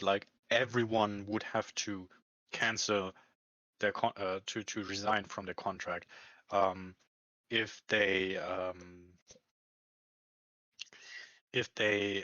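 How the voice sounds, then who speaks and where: Male, lowish pitch, hesitant conversational delivery, dull lo-fi room recording. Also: sharp tone. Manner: hesitant, halting, searching for words. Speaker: employee talking about a work contract. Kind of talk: casual conversation. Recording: muffled lo-fi, echoey room.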